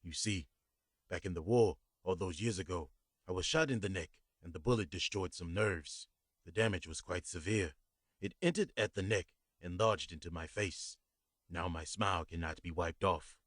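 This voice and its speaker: A man putting on a gentle old immigrant man. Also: low-pitched, normal speed, no room sound, clean studio sound. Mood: solemn, earnest. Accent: faint foreign accent. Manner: gentle, warm, softly spoken.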